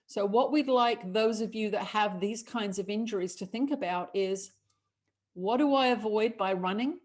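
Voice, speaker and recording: A middle-aged woman, a spiritual channeler hosting a show, giving calm, measured guidance as a collective "we" and chatting with callers. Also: mid-range voice.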